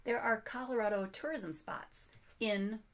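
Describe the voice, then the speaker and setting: A woman author giving a casual recorded presentation while losing her voice, talking in a chatty, self-deprecating way, some words coming out breathy and whispered. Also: fairly high pitch, unhurried.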